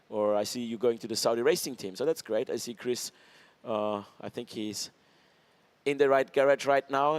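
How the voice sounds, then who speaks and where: A man's voice: mid-range voice, dry recording. A man with an Austrian accent doing live motorsport co-commentary, cheerfully steering the pit lane reporter. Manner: upbeat, directing the pit reporter. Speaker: race co-commentator.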